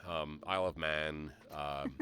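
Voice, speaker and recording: A man hosting a casual live-stream talk show, reading viewer questions aloud in a relaxed, rambling way. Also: a bass voice, slow pace. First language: English. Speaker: show host.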